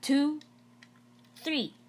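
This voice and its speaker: A woman's voice: high-pitched, drawn-out words, slight background noise, pitched above the performer's own voice. A woman voicing a brash, stocky male middle school gym coach from a storybook, addressing students in emphatic, instructing phrases. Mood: commanding.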